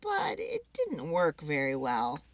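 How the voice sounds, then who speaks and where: Female storybook reader, hugely lively intonation, slow pace, expressive children's read-aloud. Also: deep voice, no room sound.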